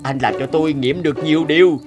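A man's voice: a little high, quick pace, music in the background, close to an everyday voice. A man playing a kindly, friendly travelling street performer in a fairy-tale cartoon. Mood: admiring. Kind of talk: animated fairy-tale dubbing.

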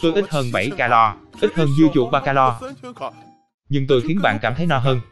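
A man's voice: mid-range voice, fairly quick, music in the background, close to an everyday voice. A man playing a boastful, quarrelsome talking bitter melon in a cartoon dub. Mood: boastful, combative.

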